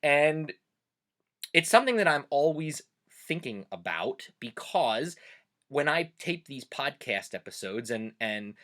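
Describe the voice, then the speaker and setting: A man's voice: on the high side, big pitch swings, measured pace, pushed and bright. A man from Pennsylvania Dutch country hosts a casual podcast monologue in a chatty way, with frequent "uh" fillers. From Pennsylvania Dutch country, USA. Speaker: host and teacher.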